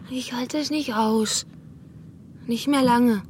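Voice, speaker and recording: An actress playing a young woman in a secret romance in a radio drama, urgent, pleading and often breathy. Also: on the high side, fairly even intonation, slow, darkish tone, a little hiss or hum. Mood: impatient, pleading.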